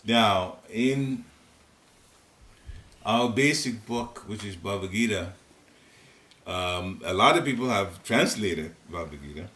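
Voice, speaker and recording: Older ghanaian guy, deep voice, rambly chatty spiritual class in someone's living room, roomy sound. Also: animated, takes it slowly, a bit bright. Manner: rambling, chatty, chuckling asides. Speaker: devotional class leader. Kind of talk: informal spiritual teaching talk. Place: living room in a private home. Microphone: seated, room recorder at a distance. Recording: roomy home recording, faint hiss.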